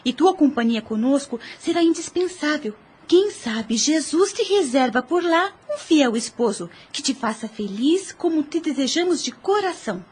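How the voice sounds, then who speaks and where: Actress as high-voiced, caring sister. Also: female voice, animated, normal speed, darkish tone. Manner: formal, theatrical diction. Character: affectionate, eager, encouraging married younger sister. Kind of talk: Spiritist radio drama acting.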